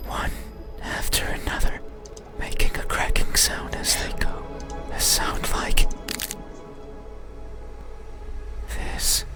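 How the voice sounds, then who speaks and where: A hollow, mysterious creature played by an actor whispers very slowly, wistful yet menacing, in a horror visual novel. The hushed, breathy voice swings widely, with loud music behind it. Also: lightly acted. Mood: brooding, desperate. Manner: slow, halting, hushed delivery.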